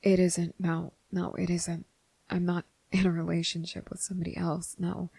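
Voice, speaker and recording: A woman voicing an anxious, guilt-ridden young male vampire in intimate ASMR roleplay, breathy and husky, halting and earnest. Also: on the low side, monotone, warm tone, dry recording. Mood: nervous, halting. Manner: halting, hesitant, earnest, pleading.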